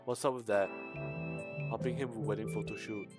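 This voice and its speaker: A man's voice: fairly low pitch, fairly even intonation, fairly quick, close to an everyday voice. Man reading as a weary, wry teenage student. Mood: wry, casual. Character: weary sardonic teenage student narrator of a visual novel. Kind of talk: reading visual novel text aloud. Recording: music behind the voice.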